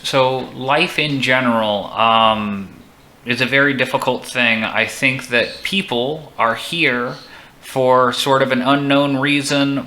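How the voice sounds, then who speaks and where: A man's voice: mid-range voice, pushed and bright, slight background noise, low-quality recording. A man hosting a livestream chat, friendly and enthusiastic, musing at length on life. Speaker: streamer host.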